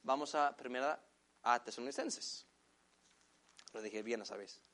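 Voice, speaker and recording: A man whose first language is English preaching a sermon in Spanish, addressing the congregation as brothers and slowing down to stress key phrases. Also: mid-range voice, a bit bright. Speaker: pastor.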